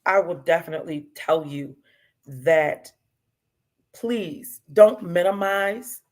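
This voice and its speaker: A woman's voice: fairly low pitch, takes it slowly, a little mellow. A woman in her fifties, talking casually as a guest and repeating herself for emphasis. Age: 50s. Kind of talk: casual chat.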